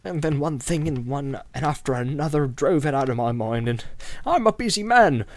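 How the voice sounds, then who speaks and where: A man's voice: fairly high pitch, lively intonation, normal speed, no room sound. A man voicing a good-hearted, flustered rustic innkeeper in rambling, stammering, folksy speech for an audiobook. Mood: apologetic, anxious. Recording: music behind the voice.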